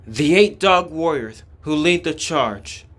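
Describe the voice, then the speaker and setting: Slow, higher-pitched man reading game script. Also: expressive, fairly bright tone, a little hiss or hum. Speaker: fan voice-over reader. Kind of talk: dramatic game script read-aloud.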